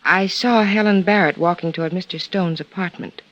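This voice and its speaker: A woman's voice: fairly low pitch, normal speed, fairly bright tone, highs cut off, natural voice. A woman acting a guarded, polite American secretary in a 1950s radio drama, hesitant and carefully proper, on an old recording. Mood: polite, composed. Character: guarded, polite female secretary giving a statement.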